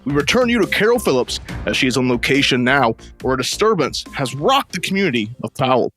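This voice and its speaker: Actor as a fast-talking radio news announcer. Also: male voice, mid-range voice, sing-song, a bit bright, soft background music. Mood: brisk, formal. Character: brisk male radio news studio announcer. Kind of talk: 1930s-style radio announcing.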